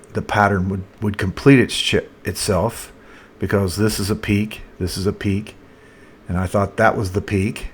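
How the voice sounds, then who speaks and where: A man presenting a casual, unscripted chart commentary as a market analyst, thinking aloud in a halting way. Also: low voice. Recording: noticeable background noise.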